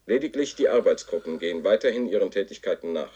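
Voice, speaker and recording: An actor playing the formal, declamatory announcer of a dystopian regime's propaganda broadcast in a radio play. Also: male voice, deep voice, animated, quick pace, mellow, breathy edge, highs cut off. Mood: stern, official. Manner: formal, declamatory, slogan-repeating. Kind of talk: scripted public proclamation.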